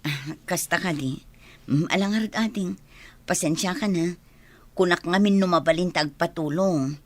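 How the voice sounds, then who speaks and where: A woman plays a considerate village schoolteacher in an Ilocano radio drama. Her voice is on the low side and recorded close and dry. She talks respectfully and conversationally, with an apologetic, polite temper and an Ilocano accent. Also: normal speed, dry recording, natural voice. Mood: hesitant, apologetic.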